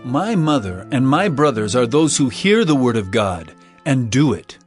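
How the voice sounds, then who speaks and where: An actor playing Jesus as a calm, authoritative teacher with a measured, solemn delivery. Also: male voice, mid-range voice, breathy edge. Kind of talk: dramatized scripture reading. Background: music.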